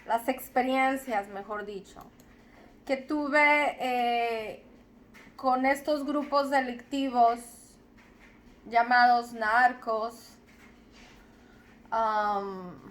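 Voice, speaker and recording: A young adult woman from Zacatecas with a Mexican accent, slowly telling her personal story in a reflective, deliberate way. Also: a little high. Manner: slow, deliberate, reflective with repetitions.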